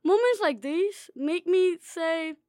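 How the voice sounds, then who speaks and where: A young woman with a very high, light voice reads her own personal essay aloud. Her delivery is wry and dreamy, and she pokes fun at herself. The recording is dry and close, with no room sound. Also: slow pace, mellow, no echo. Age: young adult. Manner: wry, dreamy, playfully self-mocking. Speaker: student author reading her own essay.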